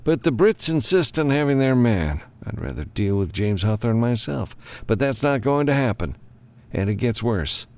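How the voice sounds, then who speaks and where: A man's voice: medium pitch, very animated, normal speed, a little mellow, pitched above the performer's own voice. A man voicing a grim, commanding government lawman in an audiobook, giving a frank, businesslike briefing. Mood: frank, uneasy.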